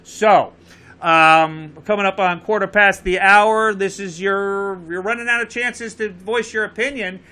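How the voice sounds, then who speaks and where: A man's voice: high-pitched, fairly even intonation, unhurried, sharp tone, smooth. A man with a Connecticut accent hosting a live call-in show, urging viewers to phone in and repeating the number. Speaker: show host.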